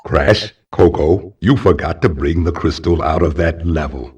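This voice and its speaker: A man voicing a wise, ancient guardian spirit in a video game, a benevolent mentor who instructs in a solemn, measured way. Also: extremely low pitch, a little mellow, husky, full character voice. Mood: instructive. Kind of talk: video game cutscene exposition. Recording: distant game audio with music.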